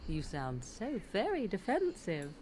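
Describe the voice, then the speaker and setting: A woman's voice: mid-range voice, wildly swooping pitch, unhurried, darkish tone. An actress playing a haughty young witch who needles others in a formal, archly mocking way, in a video game. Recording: clear outdoor ambience noise behind.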